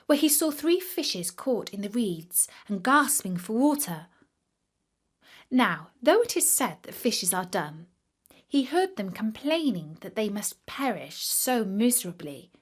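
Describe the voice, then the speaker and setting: Female storyteller, slow delivery, lively melody, higher voice, scripted fairy tale narration. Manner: careful, expressive storytelling.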